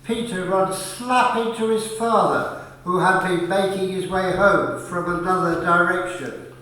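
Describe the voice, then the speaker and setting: Male narrator, slow pace, scripted reading, distant from mic, very reverberant. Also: sits high, bright, forceful tone. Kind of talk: scripted narration of a radio play. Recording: echoey room, far from mic.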